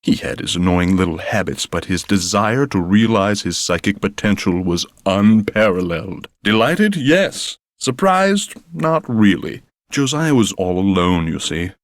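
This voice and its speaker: A haughty psychic headmaster. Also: male voice, sits low, no room sound. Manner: haughty, precise, uses long formal words. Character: pompous male psychic school headmaster, condescending. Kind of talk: video game voice acting. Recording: dry studio recording.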